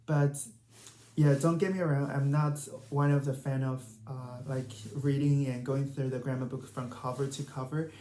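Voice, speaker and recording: A woman's voice: rumbling low, little pitch movement, normal speed, warm tone, a little hiss or hum. A woman with a Chinese accent, a native Chinese speaker, casually sharing language study tips as a vlogger. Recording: roomy, echoing sound.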